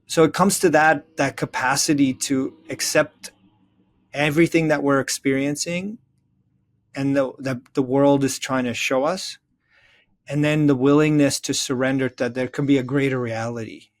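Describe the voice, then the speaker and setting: Man, medium pitch, interview guest, earnest, reflective, explanatory conversational delivery. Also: fairly even intonation, normal speed. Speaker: interview guest, therapist and author.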